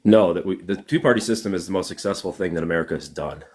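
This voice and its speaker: A man's voice: deep voice, fast, a little mellow. A man calling into a live stream, arguing politics assertively and listing his points. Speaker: caller. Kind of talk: casual political debate.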